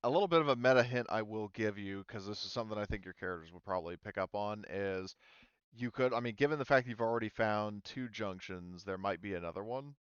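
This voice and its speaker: Male, game master, smooth voice, halting casual narration, dry slightly dull recording. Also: on the low side, no room sound. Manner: halting, informal explaining with frequent "like".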